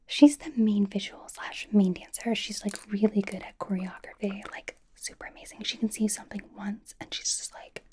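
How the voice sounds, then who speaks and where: A woman playing a bubbly, nervous young K-pop idol in an ASMR roleplay, whispering softly in a chatty, rambling, reassuring way. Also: medium pitch, steady tone, normal speed, few pauses, lightly acted. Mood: bubbly, awkward. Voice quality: soft, breathy whisper.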